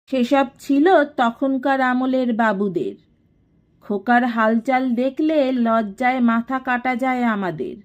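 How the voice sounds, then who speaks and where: A woman's voice: a little high, slow pace, voice pushed up. A woman voicing a haughty, scheming older matriarch in an audio story, imperious and sarcastic. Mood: scornful, scolding. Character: haughty, scheming, domineering elder matriarch of a declining household.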